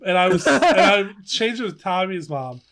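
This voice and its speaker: A young man co-hosting a casual podcast, telling stories in an animated, giggly way. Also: sits high, normal speed, noisy background. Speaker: podcast co-host. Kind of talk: casual podcast chat, reminiscing.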